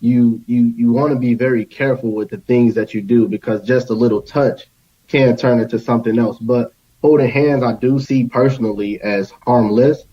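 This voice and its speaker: Young guy on a panel, earnest casual talk, average pitch, kinda dull lo-fi audio. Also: steady tone, moderate pace, dark tone. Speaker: roundtable guest. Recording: slightly dull, lo-fi sound.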